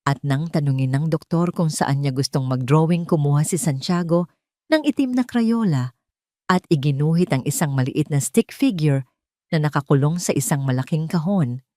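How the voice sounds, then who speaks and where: Story narrator: Filipino woman, low register, dramatic scripted read, dry studio-like sound. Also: normal speed, warm tone, no room sound.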